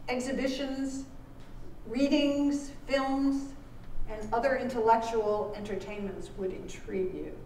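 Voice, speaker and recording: Slow, high-voiced woman in an echoey room. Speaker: author reading aloud at a book launch. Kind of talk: live reading of a scripted narration. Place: indoor launch performance, live event. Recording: echoey room, distant mic, faint noise.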